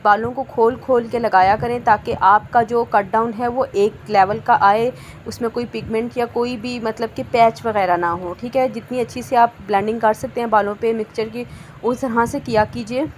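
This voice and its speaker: A woman's voice: fairly high pitch, fairly even intonation, moderate pace, a little hiss or hum, poor audio. Chatty woman stylist explaining hair color. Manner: chatty, repeats "theek hai ji" often. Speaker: hairstylist and channel host. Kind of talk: casual how-to tutorial narration. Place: hair salon.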